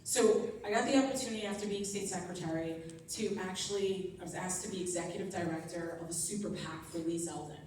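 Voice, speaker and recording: Young woman, lowish voice, earnest and upbeat speech, far from the mic in a very echoey room. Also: brisk, a little mellow, a little hiss or hum. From Long Island, New York. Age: young adult. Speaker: political organizer giving a training talk. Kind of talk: speech at a training event.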